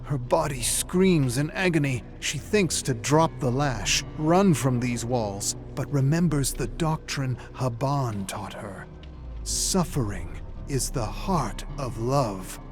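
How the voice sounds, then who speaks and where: A man delivering grave, deliberate, theatrical narration of a dark fantasy story. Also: mid-range voice, expressive. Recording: music behind the voice. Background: dramatic music.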